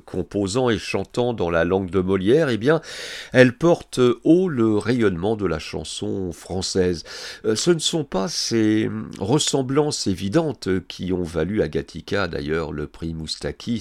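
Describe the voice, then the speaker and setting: Low-voiced male radio host. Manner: reads with frequent fillers. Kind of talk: radio presenting.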